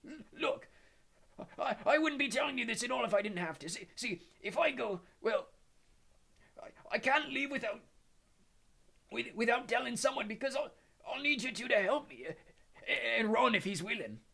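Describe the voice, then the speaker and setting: A man voicing a huge, gruff but soft-hearted half-giant gamekeeper who stammers in a rustic storybook voice. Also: extremely high pitch, animated, normal speed, pauses often, pitched above the performer's own voice, heavily acted. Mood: tearful.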